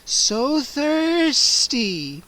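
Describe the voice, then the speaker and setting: A man's voice: extremely high pitch, sing-song, pushed and bright, pitched above the performer's own voice, heavily acted, rough recording. A man doing a hissing thirsty girl vampire. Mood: hungry hiss. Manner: slow hissing whisper. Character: hungry female teen vampire, sly and teasing.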